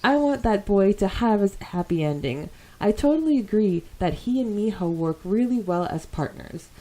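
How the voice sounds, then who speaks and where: A woman hosting a podcast, chatting casually and reading listener comments aloud in an animated way. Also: medium pitch, moderate pace, rough recording. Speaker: podcast host.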